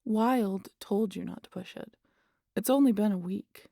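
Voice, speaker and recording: A woman's voice: mid-range voice, measured pace, gravelly, warm tone, dry recording. A woman playing a teasing, sardonic immortal vampire in an audio roleplay, scolding in a dry, casual, sweary way with protective concern. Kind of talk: intimate audio roleplay acting.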